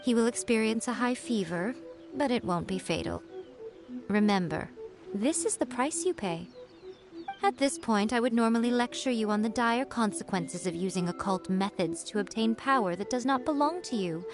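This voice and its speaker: A woman playing a poised, teasing shrine maiden in an anime game, speaking with sly, measured authority. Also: fairly high pitch, lively intonation, lightly acted. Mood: stern, composed. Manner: sly, composed, measured lecturing. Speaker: video game voice actress. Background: game music.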